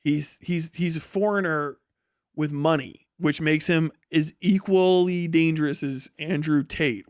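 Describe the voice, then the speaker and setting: A man's voice: on the high side, clear, resonant tone, no room sound, studio quality. An American man livestreaming a casual, opinionated rant, blunt, scornful and full of profanity and slang. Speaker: livestream host and political commentator.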